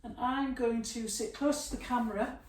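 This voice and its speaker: A woman teaching a yoga class, giving slow, soothing guidance through stretches and a guided relaxation. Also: fairly high pitch, fairly even intonation. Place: room, recorded at a distance from the camera mic. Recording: echoey room, mic at a distance, faint hiss.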